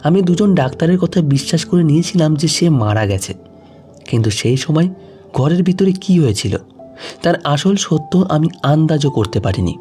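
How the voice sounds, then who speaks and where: Guy narrating an emotional audio story, soft warm tone, bit high pitched, light music behind. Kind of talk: scripted dramatic first-person narration. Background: faint music.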